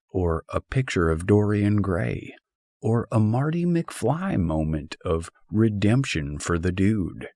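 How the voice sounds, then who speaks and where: A man reading a humorous philosophical essay as a scripted audiobook, in a wry and expressive way. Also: low voice, slightly rough, no room sound, clean studio sound. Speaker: audiobook narrator.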